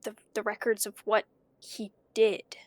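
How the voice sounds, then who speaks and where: An actress playing an anxious woman investigator in an audio drama, talking to herself in a nervous, halting, stammering way. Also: medium pitch, completely flat delivery, dry recording, natural voice. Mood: uneasy, doubting. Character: anxious woman investigating her dead cousin's tapes.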